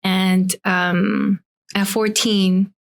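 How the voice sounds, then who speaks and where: A woman's voice: medium pitch, level tone, very slow, creaky. A young adult woman from Taiwan, a life coach and podcast guest, talking reflectively and thinking aloud with frequent fillers and restarts. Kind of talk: casual podcast conversation.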